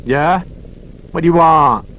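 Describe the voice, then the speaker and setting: A woman's voice: low voice, slow, a bit bright, slight background noise, voice pushed up, low-quality recording. A man voicing a brash, suspicious local woman with an Oxfordshire accent, answering the phone curtly. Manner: curt, suspicious, rude.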